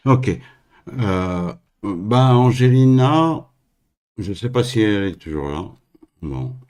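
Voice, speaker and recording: A man from Algeria hosting a live call-in stream, talking in a halting way with many fillers and restarts. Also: on the low side, lively intonation, darkish tone, smooth.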